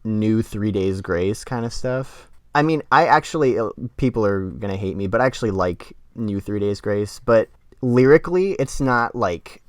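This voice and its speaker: A man's voice: fairly low pitch, lively intonation, normal speed, no room sound, studio quality. A man co-hosting a podcast, casually giving his critique and often rephrasing his points as he goes. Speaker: podcast co-host and music critic. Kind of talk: casual discussion.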